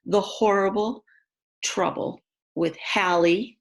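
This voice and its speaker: A woman reads a picture book aloud to young children in an expressive way, adding chatty asides about the pictures. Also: medium pitch, measured pace, rough recording. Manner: expressive, exclaims and gasps over the pictures. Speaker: read-aloud storyteller for children.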